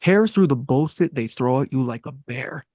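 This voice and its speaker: A man's voice: medium pitch, animated, moderate pace, a little mellow, no room sound, close to an everyday voice. A man reading the part of a fired-up older brother giving a sweary, intense pep talk at an amateur table read. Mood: urgent.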